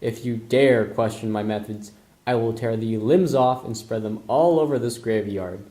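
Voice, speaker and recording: A man's voice: fairly low pitch, normal speed, mellow, lightly acted. A man as an echoey, intense young nobleman. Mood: threatening. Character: threatening, lovelorn young nobleman in a Shakespeare puppet play. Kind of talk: amateur puppet show acting. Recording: roomy echo.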